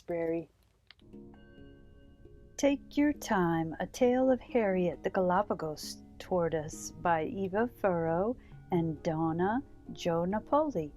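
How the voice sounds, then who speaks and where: A woman hosting a children's story time, reading a storybook aloud with gentle, deliberate phrasing. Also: fairly low pitch. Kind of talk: read-aloud storybook narration.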